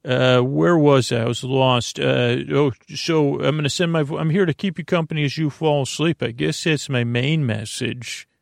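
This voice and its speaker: A man with a gravelly, creaking voice at a middling pitch rambles as host of a bedtime podcast. He is halting and repetitive, stretching words and drifting into goofy tangents. The sound is close and dry. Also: steady tone, no room sound. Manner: drawn-out words, droning; goofy, self-deprecating. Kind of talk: rambling improvised bedtime monologue.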